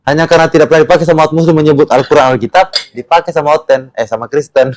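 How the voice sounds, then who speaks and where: Fast-talking man in casual discussion. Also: fairly high pitch, fairly even intonation. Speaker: discussion participant.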